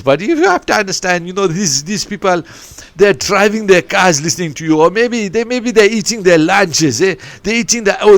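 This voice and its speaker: A man putting on a scolding, loud podcast moderator. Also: high voice, no room sound, pitched above the performer's own voice. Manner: loud and scolding, ends lines with "eh?". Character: stern, teasing male podcast moderator.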